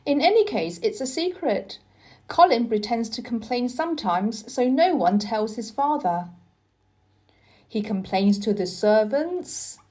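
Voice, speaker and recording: A woman reading the part of a cheerful, loyal country boy in a storybook, in a lively, explanatory manner. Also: a little high, measured pace. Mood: confiding.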